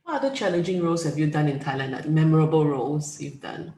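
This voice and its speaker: A woman hosting an interview, asking questions and giving soft, short affirmations. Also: deep voice, quick pace. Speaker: interviewer host. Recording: echoey room with faint noise.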